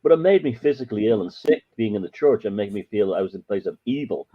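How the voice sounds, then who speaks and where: A man's voice: fairly low pitch, expressive, fast. An Irish man, a podcast guest, telling stories in a rambling, emphatic way. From Ireland. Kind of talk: casual storytelling chat. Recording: muffled lo-fi audio.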